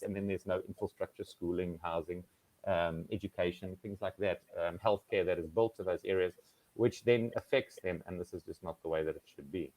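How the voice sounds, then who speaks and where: A man with a South African accent speaks in a fairly low voice over a video call. He holds forth at length, piling up fillers and restarting his sentences. The sound is dry and close, with no room around it. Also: a little mellow, no echo, rough recording. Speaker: online English tutor. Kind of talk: casual video-call conversation.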